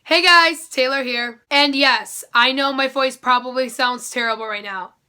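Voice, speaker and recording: A young woman talks straight to her viewers in a chatty, upbeat way. Her voice is high and bright, with a nasal, congested sound from a head cold. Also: moderate pace, clear, resonant tone. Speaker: vlogger. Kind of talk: casual talk to camera.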